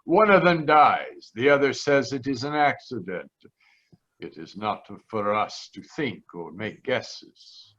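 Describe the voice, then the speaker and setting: An actor playing a grieving Italian immigrant father in an old-time radio drama, speaking halting, formal broken English with an Italian accent. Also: medium pitch, lively intonation, fairly bright tone. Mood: grave, insistent. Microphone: video call. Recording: muffled video-call audio.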